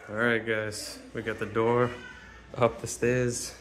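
A man casually talking through a carpentry build as he works, chatty and relaxed. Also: mid-range voice, slow, a little hiss or hum. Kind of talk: casual build vlog narration. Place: workshop and home interior.

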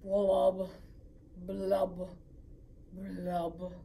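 A woman voicing a glum, gloomy male fish in a storybook reading, talking in a slow, mopey drawl. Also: fairly low pitch, flat delivery, warm tone, recorded from a distance, voice pushed down.

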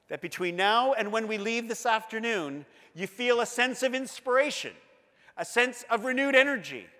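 A man in his fifties with a Canadian accent delivers an earnest, emphatic keynote, pacing the stage on a lapel mic. His voice sits high and sounds bright and forceful. A long hall reverb trails behind each phrase. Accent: Canadian English. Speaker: school board director giving a keynote. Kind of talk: conference keynote speech. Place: large conference hall.

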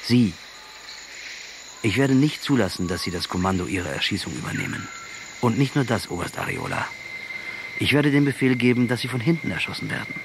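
An actor playing a defiant, ironic revolutionary commander in a radio drama, speaking emphatically and rhetorically. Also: male voice, medium pitch, expressive, brisk, pauses often, noisy background, natural voice. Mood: cold threat.

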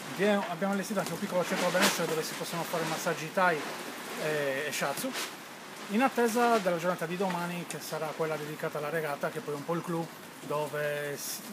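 A man's voice: on the high side, noisy background. A man in his fifties, an event organizer, fluently listing the day's activities in an interview in an outdoor tent. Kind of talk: interview answers.

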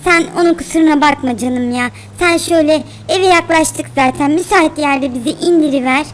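Woman acting a very high-pitched fussy cartoon mother. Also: normal speed, a little hiss or hum, close to an everyday voice. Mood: chiding, apologetic. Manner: exclamatory, chiding motherly chatter. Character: fussy, scolding, doting cartoon spider mother.

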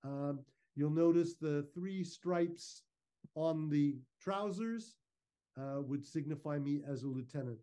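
A man giving an informal, measured, explanatory slide talk over a video call. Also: fairly high pitch, warm tone, clean tone. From Canada. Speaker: guest speaker, historical reenactor. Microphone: video call microphone.

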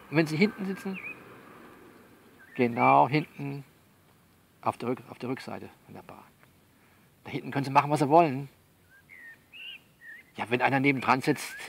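A man's voice: mid-range voice, vocal fry, a little hiss or hum. A man in a conversational, improvised one-sided monologue, coaxing and bossing a listener along and often repeating himself. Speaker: performer of his own audio novel.

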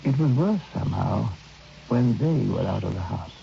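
A gravelly, reflective storyteller. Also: male voice, lowish voice, animated, mellow. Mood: haunted. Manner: measured, literary, dramatic pauses. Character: reflective male writer recounting an uncanny memory. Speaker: narrator. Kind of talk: old radio drama first-person narration. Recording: dull old radio recording, faint noise.

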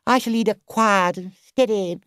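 Man playing a boastful, excitable toad gentleman, high-pitched. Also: measured pace, no room sound, clean studio sound. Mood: earnest, wistful. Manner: theatrical, swaggering, melodramatic.

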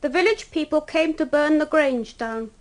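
Woman as very high-voiced eerie child. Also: moderate pace, lightly acted. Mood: cold. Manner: flatly declarative, formal, unyielding. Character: cold, eerie, inhuman child speaking for the group. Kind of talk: radio drama acting.

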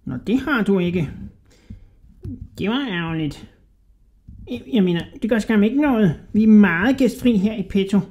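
A reader voicing a sly rat posing as a small pale man, with a squeaky, piping voice and fawning, hesitant speech. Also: animated, long pauses, mellow, close to an everyday voice. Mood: wheedling. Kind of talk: storybook reading.

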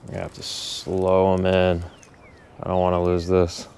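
A man filming himself fishing outdoors, chatting casually to the camera and muttering to himself with sudden excited bursts. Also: low voice, normal speed, a little hiss or hum. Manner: relaxed, mutters to himself, excited bursts when a fish bites. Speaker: angler filming his fishing trip. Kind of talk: casual self-narrated fishing vlog. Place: outdoors by a brook from a small boat.

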